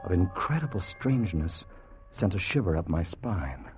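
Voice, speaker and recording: An actor playing an anxious, timid time traveller who also narrates, in an earnest, dramatic 1950s radio style. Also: male voice, low voice, very animated, normal speed, darkish tone, slightly rough, exaggerated voice. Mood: hushed wonder. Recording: dull vintage radio recording with music.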